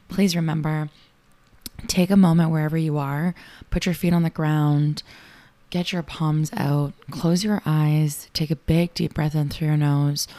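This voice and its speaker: Raspy low-voiced woman reading affirmations. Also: mellow, no echo. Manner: slow, soothing affirmation reading. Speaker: affirmation and meditation host. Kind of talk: guided affirmations. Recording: clear music bed behind voice.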